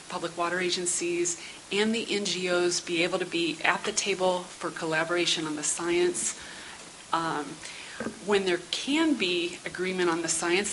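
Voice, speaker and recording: A middle-aged woman giving prepared comments at a public council meeting in a measured, businesslike, formal but conversational way. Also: lowish voice, fairly bright tone, poor audio. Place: indoor meeting room. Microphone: gooseneck table microphone. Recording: hissy meeting-room sound.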